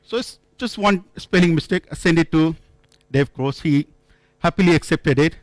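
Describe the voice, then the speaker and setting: A man from India speaks as he tells stories at a conference, often restarting and correcting himself. His voice sits a bit high. The sound is dry, with little room echo. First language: not English. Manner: halting, frequent restarts and self-corrections, storytelling. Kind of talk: conference talk.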